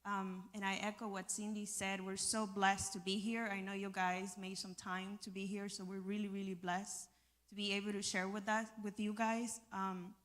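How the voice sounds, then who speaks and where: Young woman giving a halting, earnest testimony. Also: mid-range voice, level tone, a bit bright. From El Salvador. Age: young adult. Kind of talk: personal testimony to a live audience.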